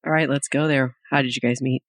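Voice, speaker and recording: A woman's voice: rumbling low, fairly even intonation, fast talker, no room sound, clean studio sound. A woman co-hosting a podcast, introducing the show and asking guests curious questions. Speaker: podcast co-host.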